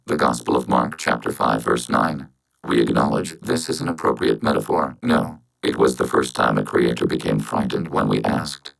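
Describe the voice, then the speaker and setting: A networked game robot with an extremely low, creaky voice. It speaks in formal, clipped phrases, without emotion, as one calm collective mind. Robotic processing gives it a synthetic edge. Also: male voice, full character voice, low-quality recording. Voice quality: synthetic, machine-like.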